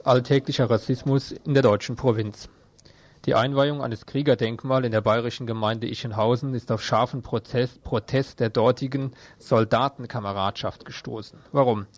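A man presents radio news. His voice has a medium male pitch and a dry sound with almost no room around it. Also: steady tone, normal speed, dry recording.

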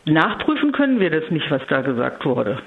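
A woman giving a spontaneous political interview as a local council leader, speaking in a measured, emphatic way with careful wording. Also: low voice, sing-song, creaky. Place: phone call to a radio programme. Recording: narrow-band phone line.

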